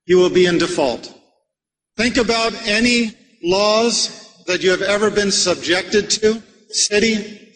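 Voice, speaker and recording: Male preacher from America, measured rhetorical lecture style, long reverb of a hall around him. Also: high-pitched, a bit bright. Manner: measured, rhetorical, addresses the audience with questions. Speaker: Islamic preacher. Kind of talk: religious lecture to a live audience.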